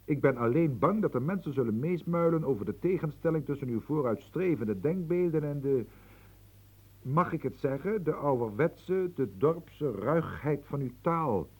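An actor playing a deferential but needling abbé secretary in a radio play. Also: male voice, on the high side, lively intonation, normal speed, darkish tone, breathy edge. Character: timid, pedantic male abbé secretary. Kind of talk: radio drama acting.

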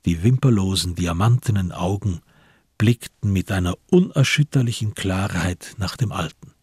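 A man narrating a radio crime drama in a measured, literary, sober way, with a husky voice. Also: sits low, a little mellow, no echo, clean studio sound. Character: sober storyteller of a crime tale.

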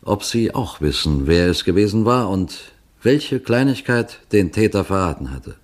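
Low-voiced radio detective storyteller. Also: male voice, animated, moderate pace. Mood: measured, inviting. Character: male detective storyteller quizzing his radio listeners. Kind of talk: old German radio crime series narration.

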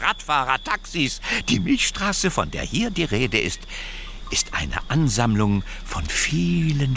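An animated radio-play storyteller with a fairly high voice that swoops dramatically up and down. He takes his time over a whimsical folk tale, savoring repeated words, with music behind him. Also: exaggerated voice. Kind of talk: theatrical radio play narration.

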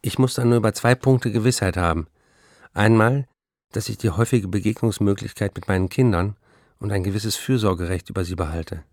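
A man's voice: fairly low pitch, brisk, a little mellow, no room sound, studio quality, natural voice. An actor playing an embattled middle-aged writer who reads out his letters formally and measuredly in a German radio play. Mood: firm, reasonable.

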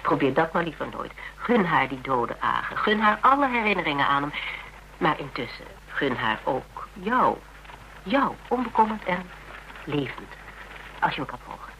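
A cool, practical woman in a radio play. Her voice is bright and clear-cut, her delivery conversational, wry and measured as she counsels. The older recording carries some background noise. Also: low voice, big pitch swings, exaggerated voice, rough recording. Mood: earnest advice. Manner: matter-of-fact, wry, measured. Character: Tera, cool practical worldly woman giving frank advice. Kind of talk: Dutch radio drama acting, conversational.

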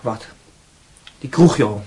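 An actor playing a defensive, hot-tempered young student in a radio drama, with a Netherlands Dutch accent and a husky voice. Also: male voice, takes it slowly, warm tone, natural voice. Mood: defensive, sarcastic. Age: young adult.

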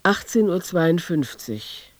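A man's voice: on the high side, lively intonation, takes it slowly, no echo. A man performing as a sober, precise narrator in a literary radio play. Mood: sober, observant.

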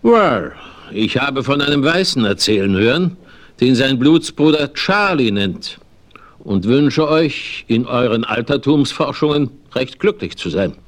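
A man's voice: medium pitch, big pitch swings, measured pace. A man playing a crafty, blustering old frontiersman with folksy talk and oaths in a western radio play. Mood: sly. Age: older.

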